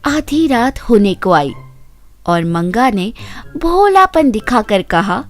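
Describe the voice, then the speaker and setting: A woman's voice: fairly high pitch, very animated, slow, faint music behind. A woman narrating a scripted children's story as a storyteller.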